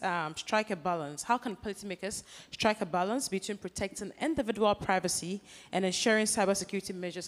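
A woman moderating a youth panel, introducing speakers with a lively, hesitant delivery full of ums and uhs. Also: mid-range voice. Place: large conference hall. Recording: reverberant conference hall.

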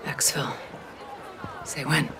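An actress playing a composed middle-aged CIA station chief with an American accent, speaking in a clipped, businesslike way in a military game. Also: female voice, takes it slowly, bright, forceful tone, slight background noise. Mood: low, businesslike.

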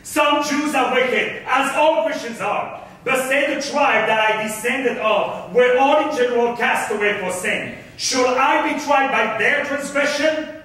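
A man's voice: very high-pitched, measured pace, sharp tone, exaggerated voice. A man playing a wronged, defiant rich merchant in a staged reading of old verse, declaiming with passion. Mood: indignant. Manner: declamatory, impassioned. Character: wronged, bitter, defiant wealthy merchant of Malta. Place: theatre stage in a hall. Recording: echoey stage, distant microphone.

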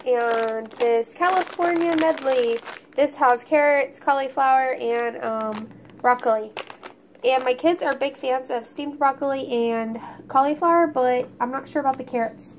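A woman's voice: sits high, unhurried. A woman in her thirties with an Oklahoma Southern accent, narrating a casual grocery haul in a chatty, homey way, her voice congested and a little crackly from a cold. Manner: chatty, homey, listing items. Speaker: YouTube vlogger, mom.

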